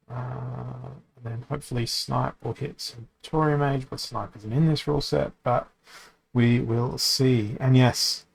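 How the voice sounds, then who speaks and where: A man streaming a card game, thinking aloud as he plays, often correcting himself and pausing to hesitate. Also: medium pitch, creaky, rough recording. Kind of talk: casual commentary while playing a card game.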